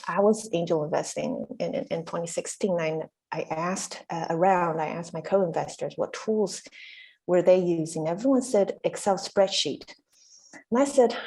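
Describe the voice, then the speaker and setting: A woman's voice: fairly low pitch, dark tone, poor audio. A woman born in Taiwan introducing herself on a video call, hesitant with many fillers and restarts. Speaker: country chair and panelist. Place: bright room indoors. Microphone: laptop webcam on a video call.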